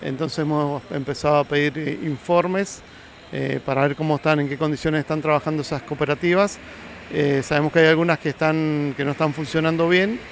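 An Argentine man with a fairly high voice speaks as a councillor in an unscripted interview. Faint street and vehicle noise is behind him. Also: fairly even intonation, a little fast. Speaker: city councillor being interviewed. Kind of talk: spontaneous interview answer. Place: outdoors by a building. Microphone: handheld reporter microphone.

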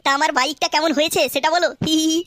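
A woman's voice: sits high, steady tone, racing, fairly bright tone. A woman voicing a cheeky, boastful boy in a comedy cartoon, with a teasing manner. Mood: gloating, teasing. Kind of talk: Bengali comedy cartoon voice acting.

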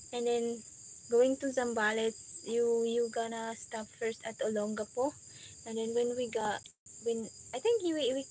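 A woman's voice: fairly high pitch, breathy edge, low-quality recording. A woman in her thirties with a Filipino accent, a Waray speaker, chatting casually with viewers on a livestream from her kitchen and laughing often. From Philippines. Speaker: livestream host. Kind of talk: casual livestream chat reading viewer comments. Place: small home kitchen with tin roof, evening. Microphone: phone camera close up.

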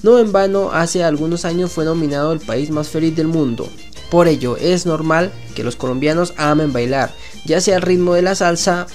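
A man's voice: fairly high pitch, darkish tone. A man narrating a scripted numbered list video as its host, lifting his voice at each new item. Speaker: channel host. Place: voice-over over stock footage.

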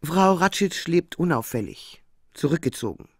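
A man's voice: fairly high pitch, sing-song, moderate pace, creaky, a little hiss or hum. A man playing a sober, sceptical police officer with a clipped, report-like delivery in a German crime radio play.

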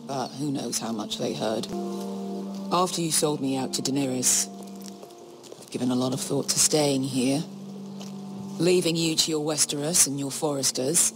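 An actor playing a tough, loyal mercenary in a fantasy game, blunt, weary and protective. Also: male voice, mid-range voice, moderate pace, long pauses, over music, close to an everyday voice. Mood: resigned, loyal. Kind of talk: dramatic fantasy game acting.

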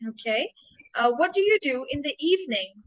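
A young adult woman acting as an English test examiner, prompting a candidate slowly and repeating model answers over a video call. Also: sits high, animated, rough recording. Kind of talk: mock speaking test, coaching.

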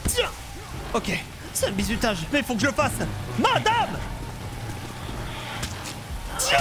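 A man streaming an improvised game roleplay, talking in an excitable, theatrical way. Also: very high voice, slow pace, over loud music. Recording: noisy game sound behind voice.

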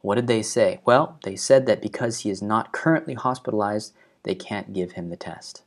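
A man's voice: on the low side, moderate pace. A young man from Hawaii in his twenties, talking earnestly to a webcam in his living room as he walks through the latest news and stresses the key numbers. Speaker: video host. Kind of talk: explanatory news commentary. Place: living room at home, indoors.

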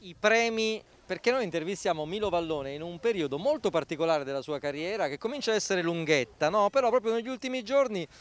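A man's voice: high voice, lively intonation, quick pace, few pauses. A middle-aged man, hosting a casual interview outdoors in an informal, chatty way. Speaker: interviewer, host. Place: outdoors, garden table and beach. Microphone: handheld microphone, speaking through a face mask.

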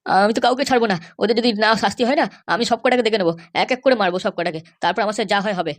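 A man's voice: high voice, rapid-fire, lightly acted. A man voicing an injured, aggrieved older uncle in an amateur comedy cartoon, complaining emphatically. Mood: aggrieved, vengeful.

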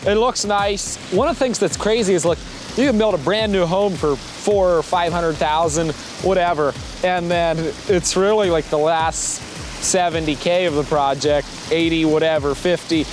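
A man's voice: fairly high pitch, moderate pace. A man giving a casual, rambling, self-deprecating project update to camera as a landscaper vlog host, outdoors. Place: outdoors by a driveway waterfall. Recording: clearly noisy outdoor audio with running water.

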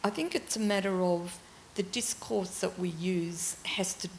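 Gravelly older woman panelist, low voice. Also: slight background noise. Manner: thoughtful, halting with many ums. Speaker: panelist, library director. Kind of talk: panel discussion answer. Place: large auditorium stage. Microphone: handheld mic.

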